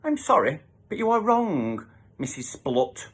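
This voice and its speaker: A man's voice: high-pitched, big pitch swings, measured pace, breathy edge, pitched above the performer's own voice, full character voice. A man voicing a cheeky, defiant young schoolgirl in a storybook, pert and argumentative. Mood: cheeky, insolent. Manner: pert, argumentative, mock-polite. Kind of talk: storybook character voice.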